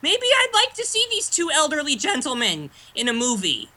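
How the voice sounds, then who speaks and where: A performer voicing a childish, self-important male Muppet fan being interviewed, talking in a deadpan way and repeating the host's name. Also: sits high, moderate pace, full character voice. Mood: chatty. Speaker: interview guest.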